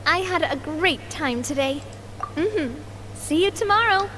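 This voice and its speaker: An actress playing a gentle, earnest young woman in a video game, speaking softly and politely. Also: very high voice, expressive, unhurried, noisy background. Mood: friendly. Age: young adult. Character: gentle, earnest young woman, dutiful heir of a family organization. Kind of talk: video game character acting. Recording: soft game music behind.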